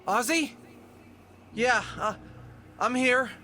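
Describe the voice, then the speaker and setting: A man's voice: very high voice, slow pace, bright, forceful tone, slight background noise, heavily acted. An actor playing an earnest, determined and loyal male fox adventurer in an audio drama. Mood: bewildered.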